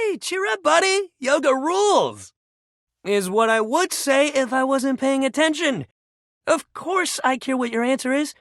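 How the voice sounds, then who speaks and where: A man's voice: extremely high pitch, creaky, sharp tone, dry recording, clean studio sound, full character voice. A man voicing an upbeat, supportive fitness coach in a video game, talking in encouraging, casual bro slang. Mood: excited, then earnest. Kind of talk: video game character voice lines.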